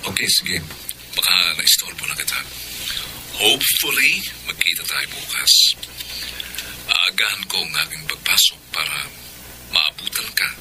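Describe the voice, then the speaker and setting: An actor playing a smooth, persistent, flirtatious Filipino man in a radio drama. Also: sits low, big pitch swings, measured pace, pauses often, background noise, full character voice. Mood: suave, flirty.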